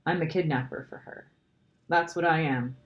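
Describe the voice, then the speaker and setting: A woman voicing a gentle, guilt-ridden male faun in a children's storybook, anguished and pleading in a dramatic way. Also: low-pitched, normal speed, highs cut off, close to an everyday voice. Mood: guilty, miserable. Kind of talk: children's storybook character reading.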